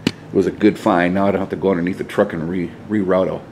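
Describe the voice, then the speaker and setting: Low-voiced man, casual DIY garage vlog. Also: little pitch movement, fast, darkish tone. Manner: informal, mildly salty, explaining step by step. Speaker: DIY video host. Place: inside a home garage, at a pickup truck. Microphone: handheld camera, held by the speaker. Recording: noisy handheld camera audio in garage.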